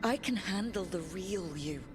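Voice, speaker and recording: An actress playing a firm, loyal heroine in a video game, speaking earnestly and reassuringly. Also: female voice, medium pitch, lively intonation, measured pace, music in the background, lightly acted. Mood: firm, caring. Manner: earnest, determined.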